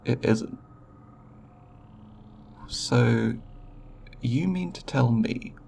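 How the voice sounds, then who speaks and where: A man playing a timid, scholarly alien researcher in an ASMR roleplay, speaking haltingly and politely in formal scientific language with a creaky voice. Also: medium pitch, a little mellow, slight background noise. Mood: intrigued, cautious. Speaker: roleplay voice performer.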